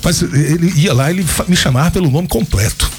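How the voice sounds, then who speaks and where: A man's voice: on the high side, a little fast, darkish tone. A Brazilian man hosting a radio show, chatting colloquially, telling anecdotes and reading ads live. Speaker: radio host. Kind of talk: casual chat with live ad reads. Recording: radio talk over background music. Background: music.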